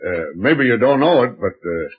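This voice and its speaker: A man playing a gruff, slangy space adventurer with an American accent in a 1930s radio serial, talking in halting, ungrammatical sentences. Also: medium pitch, very animated. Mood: gruff, casual. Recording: dull 1930s radio recording.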